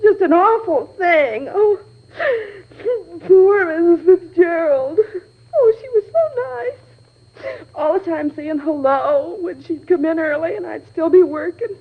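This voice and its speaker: A woman's voice: extremely high pitch, lively intonation, slow pace, full character voice. An actress in an old radio drama playing a chatty, shaken cleaning woman who rambles in folksy, ungrammatical speech. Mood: distraught, tearful. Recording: muffled vintage radio recording.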